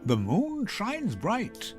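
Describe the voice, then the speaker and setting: A man playing a romantic young lover in a Shakespeare reading, slow, hushed and lyrical. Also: high voice, huge pitch swings, music in the background, pitched above the performer's own voice, full character voice. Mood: dreamy, tender.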